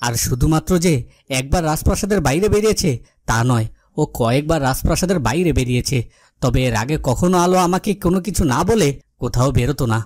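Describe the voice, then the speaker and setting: An actor playing a worried, protective cartoon king who speaks in a formal, measured, authoritative way. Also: a little high, animated, a little mellow, no echo. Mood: questioning. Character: worried, protective fairytale king, stately and questioning. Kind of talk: dubbed cartoon fairytale acting.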